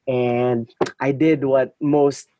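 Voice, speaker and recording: A man's voice: mid-range voice, level tone, very slow, dark tone, slight background noise. A man, chatting casually as an interview guest, laughing often and using many fillers. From Philippines. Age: 20s. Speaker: guest, marketing head.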